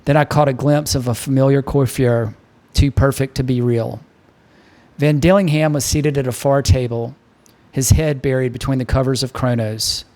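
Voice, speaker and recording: A man's voice: medium pitch, darkish tone. A man narrating a first-person novel as an audiobook reader.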